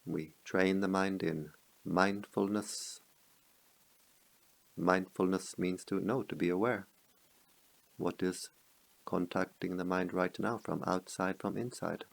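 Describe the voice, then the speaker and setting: A man guiding a meditation as a teacher, speaking calmly in measured short phrases with long pauses. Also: low voice, vocal fry, rough recording. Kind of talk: guided meditation.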